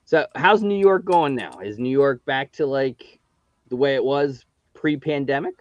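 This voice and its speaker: An easygoing man in his forties hosts and tells stories in a relaxed, chatty way. His voice comes through dull and muffled, with a dry, close sound. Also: medium pitch, a little slow, no room sound. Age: 40s. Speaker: host. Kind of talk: casual chat.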